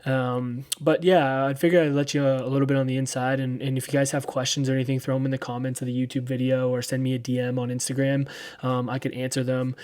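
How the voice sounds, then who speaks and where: A man of thirty giving a casual weekly running recap, relaxed and rambling with lots of ums. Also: medium pitch, quick pace. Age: 30s. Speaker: running vlogger.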